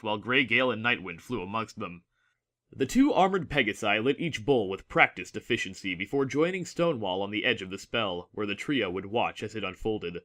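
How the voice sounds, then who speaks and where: Male audiobook narrator, lowish pitch, crisp forceful edge, dramatic scripted fantasy storytelling.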